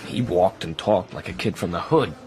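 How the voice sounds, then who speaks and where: An actor playing a fat, wisecracking, streetwise American in New York, slangy and knowing, in a radio drama. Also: male voice, low voice, moderate pace, a little mellow, slight background noise, natural voice. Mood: knowing, confiding.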